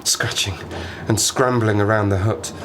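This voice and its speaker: An actor playing a stranded Arctic survivor in an audio play, a sardonic, haunted man who talks haltingly and rambles in agitation. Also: on the low side, steady tone, lightly acted. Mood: uneasy, haunted. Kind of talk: dramatic audio play monologue. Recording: noisy ambience behind the voice.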